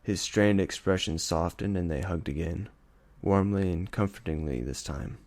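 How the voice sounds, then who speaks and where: Low-voiced man narrating a story. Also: moderate pace, a little mellow, dry recording. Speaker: audiobook reader. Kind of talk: dramatic scripted story narration.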